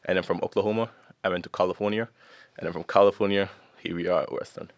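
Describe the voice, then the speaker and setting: Deep-voiced young man, relaxed and rambling. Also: quick pace, no echo. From Germany. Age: young adult. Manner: relaxed, rambling with many fillers. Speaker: guest. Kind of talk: casual chat.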